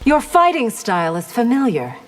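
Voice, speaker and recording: An actress playing a proud, confident army commander in a video game, with haughty, formal speech. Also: female voice, fairly high pitch, huge pitch swings, moderate pace. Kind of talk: video game character dialogue. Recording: long reverb.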